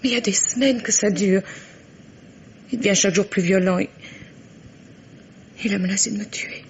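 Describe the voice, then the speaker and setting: An actress playing a frightened, distressed wife in an old French radio mystery, speaking haltingly and repeating her words. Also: mid-range voice, steady tone, close to an everyday voice. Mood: anguished. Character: frightened, distressed wife fleeing a violent husband. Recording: dull, noisy vintage radio recording.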